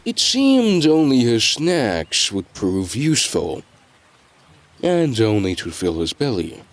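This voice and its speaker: A man reading scripted dramatic narration in a measured storytelling delivery, with deliberate pauses. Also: medium pitch, very animated.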